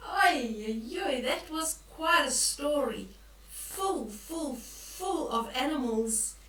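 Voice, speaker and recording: An older woman reading a bedtime story aloud to young children, expressively, with drawn-out emphasis and questions for the listeners. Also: sits high, expressive, a bit bright. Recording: echoey room, distant from the mic.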